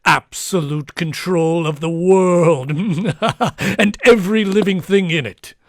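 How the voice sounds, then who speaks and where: A man acting a megalomaniac villain posing as a professor in an old-time radio play, speaking in a deliberate, grandiose, theatrical manner. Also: high-pitched, no room sound, studio quality. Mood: gloating menace.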